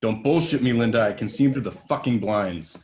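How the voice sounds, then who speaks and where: A man's voice: medium pitch, fairly quick, a little mellow, lightly acted. An actor reading a volatile, washed-up young male TV star in a table read, profane, ranting and bitter. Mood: angry.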